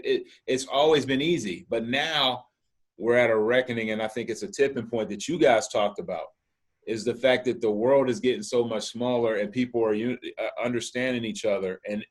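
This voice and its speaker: A man hosting a casual chat podcast, talking in an animated way and repeating words as he starts his thoughts. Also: mid-range voice. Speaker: podcast host.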